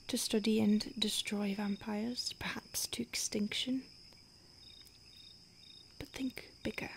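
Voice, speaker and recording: A woman voicing a starved, remorseful, centuries-old female vampire in a soft, breathy, halting whisper for an ASMR roleplay. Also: on the high side. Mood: grave, hushed. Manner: slow, intimate, halting.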